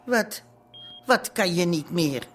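An actress in an audio drama playing a motherly café keeper, concerned and deliberate. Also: lowish voice, animated, a little hiss or hum.

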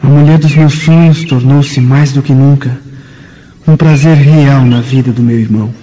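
A man's voice: mid-range voice, steady tone, normal speed, warm tone, lightly acted. A man playing a jealous, lovesick young Roman nobleman in a radio drama, with a Brazilian accent. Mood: melancholy longing. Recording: muffled, long hall reverb, music.

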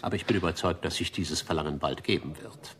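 An actor playing a pompous, fastidious gentleman gourmet in a German radio drama, lecturing formally and rhapsodizing about food. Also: low voice. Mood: solemn, admonishing. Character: pompous, fastidious gentleman gourmet, obsessively devoted to one restaurant.

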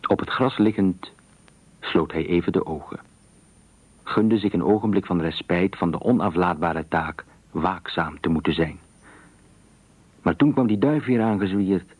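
A man narrating a literary radio thriller story in a measured, expressive storytelling manner. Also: low-pitched, breathy edge, dry recording.

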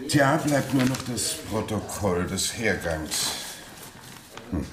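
A man playing a brisk, bureaucratic traffic police inspector in a German radio play, talking in stiff official jargon. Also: on the low side, animated, roomy sound, a crowd in the background. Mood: breezy, officious.

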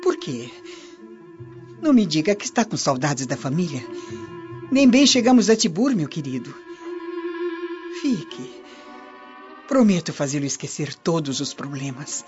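Seductive, pleading Roman mistress. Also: female voice, lowish voice. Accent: Brazilian. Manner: pleading, coaxing. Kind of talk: melodramatic radio play acting. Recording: dull lo-fi radio drama, prominent music.

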